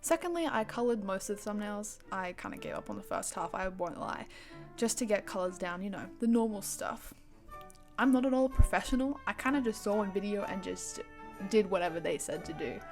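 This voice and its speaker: A woman's voice: mid-range voice, animated, a little fast, darkish tone, faint music behind. A young woman narrating her own art project in a casual, self-deprecating voice-over, often playing up the drama. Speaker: animatic creator.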